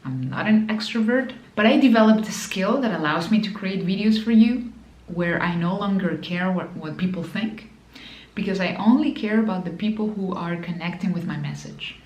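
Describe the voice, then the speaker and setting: A woman's voice: medium pitch, moderate pace, a little mellow, echoey room, rough recording. A woman with a Spanish accent, Spanish her first language, giving an emphatic motivational talk to camera as a business mentor, repeating key phrases and addressing the viewer directly.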